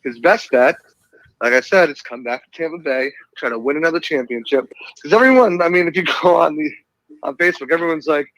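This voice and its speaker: A man's voice: fairly high pitch, fairly quick, a bit bright, no echo, rough recording. A man with a Boston accent, giving casual sports commentary as a guest analyst.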